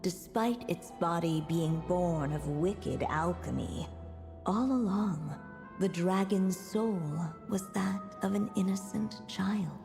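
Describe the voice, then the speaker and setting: Gentle game storyteller, measured, slow, slightly low, solemn, clear music bed. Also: female voice. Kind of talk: scripted fairy tale narration in a game.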